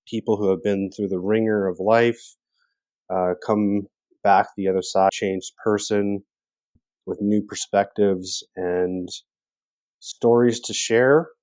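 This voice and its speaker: A man hosts a podcast interview in a slow, relaxed way. His voice is low and warm. He hesitates often and restarts his sentences as he asks his guest questions. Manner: hesitant, many fillers and restarts. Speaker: podcast host. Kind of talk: casual interview.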